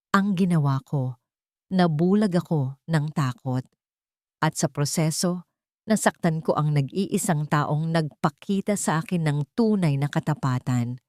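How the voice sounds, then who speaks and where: Woman voicing a low, remorseful CEO, pleading humbly. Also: darkish tone, no echo, clean studio sound. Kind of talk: dramatic audio romance story.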